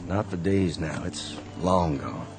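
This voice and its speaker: A man playing a tired, kindly Confederate sergeant, speaking gently and plainly in a radio drama. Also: low voice, slow, music in the background. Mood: weary, kindly. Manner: gentle, fatherly, plain-spoken. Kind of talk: 1960s-style radio drama acting.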